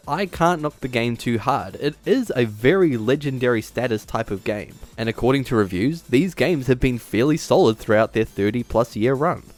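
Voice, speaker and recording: A man presenting an opinionated video essay in a casual way, partly scripted, as the host. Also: medium pitch. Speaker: YouTube host.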